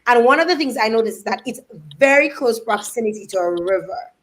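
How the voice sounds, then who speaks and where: A woman's voice: on the high side, animated, brisk. A woman hosting a live interview, chatty and asking playful questions. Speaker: host.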